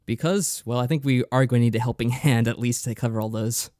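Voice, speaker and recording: Low-key man, dry close sound. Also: quick pace, darkish tone, no echo. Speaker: podcast co-host. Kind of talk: casual podcast chat.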